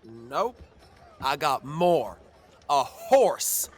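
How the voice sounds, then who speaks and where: An actor playing a cocky, boastful and naive young drifter in an audio drama, theatrical and drawn-out in his delivery. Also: medium pitch, sing-song. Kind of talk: dramatic audio fiction acting.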